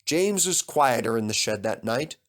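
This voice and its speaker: A man's voice: medium pitch, expressive, moderate pace. A man reading a scripted children's story aloud as its narrator.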